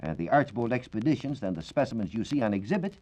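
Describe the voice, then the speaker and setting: An American man giving a formal, measured, scripted science talk as a reporter on 1940s radio. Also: mid-range voice, sing-song, darkish tone. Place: radio studio. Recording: dull, band-limited 1940s radio recording.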